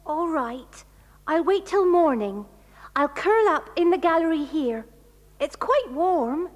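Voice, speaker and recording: A plucky little girl in a children's audio drama. The voice is very high and youthful, with a long echoing reverb around it. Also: animated, takes it slowly, lo-fi sound. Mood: resigned, brave. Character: Joe, plucky young girl helper. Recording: reverberant hall sound, off mic.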